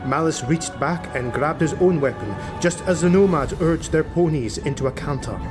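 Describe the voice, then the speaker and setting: A man's voice: a little high, normal speed. A man reading a fantasy audiobook as a scripted, dramatic narration. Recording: music bed behind the voice. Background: music.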